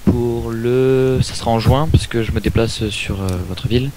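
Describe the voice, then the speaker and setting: A man's voice: mid-range voice, fairly even intonation, moderate pace, background noise. A man making a prank phone call, politely persistent and hesitant in his questions. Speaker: prank caller.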